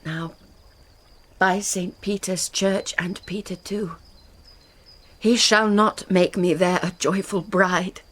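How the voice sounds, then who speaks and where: An actress playing a passionate, grief-stricken young noble bride in a classical Shakespearean radio drama, with earnest poetic delivery. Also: female voice, lowish voice, steady tone, slow pace, pauses often, fairly bright tone, slight background noise. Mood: defiant.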